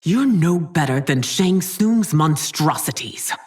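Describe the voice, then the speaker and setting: An actress playing a haughty, menacing warrior queen in a video game, husky and theatrical, taunting imperiously. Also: low-pitched, lively intonation, slow pace, darkish tone, in a large hall, exaggerated voice. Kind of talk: video game character voice lines.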